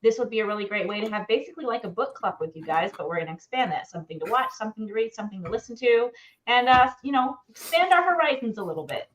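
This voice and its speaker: A middle-aged woman co-hosting a casual live chat show over a video call from home, talking in an expressive, conversational way. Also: a little high, fast, slight background noise. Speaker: co-host of a pop culture show. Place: home room, indoors. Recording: dull, lo-fi video call audio.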